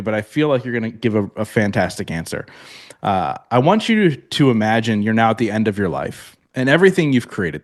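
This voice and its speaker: A man hosts a podcast interview in a quick, upbeat, friendly way. His voice sits at a middle pitch, and he talks to his guest casually, calling him "man". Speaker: podcast host.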